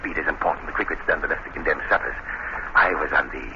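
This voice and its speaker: An actor playing an older, embittered prison guide and would-be executioner, ominously polite and drawn-out, in 1930s radio style. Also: male voice, rumbling low. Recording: scratchy, muffled 1930s radio recording.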